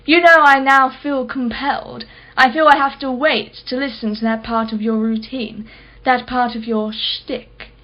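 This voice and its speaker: Radio drama casting: wealthy female collector played by a woman, higher-pitched, imperious, sardonic and sceptical, slightly muffled recording. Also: steady tone, unhurried, fairly bright tone. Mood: sceptical, mocking. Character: haughty, sharp-tongued wealthy female art collector, sceptical. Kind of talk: radio play acting.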